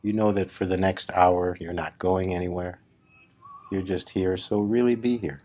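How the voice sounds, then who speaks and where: A man leading a guided meditation as a spiritual teacher, speaking deliberately in short phrases with long pauses between them. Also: sits low, fairly even intonation, darkish tone. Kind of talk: slow guided meditation talk.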